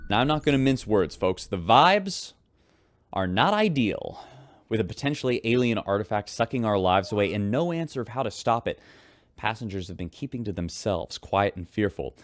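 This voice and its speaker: An actor playing a folksy spaceship radio host, delivering scripted news reports in an informal, wry announcer style. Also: male voice, on the low side, lively intonation, normal speed, lightly acted. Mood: wry, uneasy. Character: folksy male radio newscaster aboard a spaceship.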